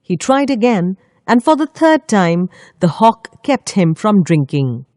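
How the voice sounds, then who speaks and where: A woman storyteller reading a scripted children's story with expressive, deliberate phrasing. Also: medium pitch, no room sound, studio quality.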